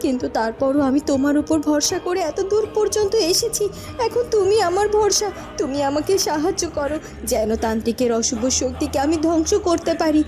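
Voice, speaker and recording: A brave young cartoon heroine, very high in pitch and full of melodic swings. She is devout, earnest and dramatic, over prominent music. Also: animated, fairly quick. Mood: desperate prayer. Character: pious, brave young heroine facing an evil sorcerer.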